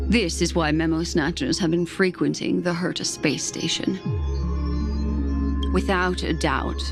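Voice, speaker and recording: Low, composed keeper of memories. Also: female voice. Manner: measured, formal, poised. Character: composed, secretive female keeper of cosmic memories. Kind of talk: video game voice acting.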